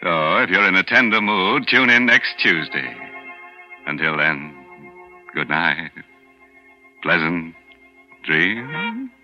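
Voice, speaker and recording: A man's voice: sits low, big pitch swings, slow pace, long pauses, sharp tone, full character voice. An actor playing a mocking male horror host who jokes darkly and chuckles, in 1940s radio acting. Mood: sly. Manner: chuckling, teasing, darkly joking. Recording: muffled 1940s radio broadcast with music.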